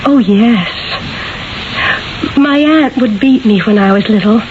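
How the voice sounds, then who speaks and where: An actress playing a demure, naive young merchant's wife in a 1970s radio drama, speaking simply, earnestly and hesitantly. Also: female voice, a little high, takes it slowly. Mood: apologetic, helpless. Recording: dull old radio, faint hiss.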